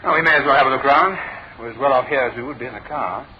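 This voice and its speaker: A fast-talking, unflappable British detective played by a male actor takes charge in a radio drama. He questions briskly and with authority. The sound is narrow and tinny, like an old broadcast, with some babble behind. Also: mid-range voice, a crowd in the background, natural voice. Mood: brisk, composed. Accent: British English. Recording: tinny, band-limited old radio recording, background babble.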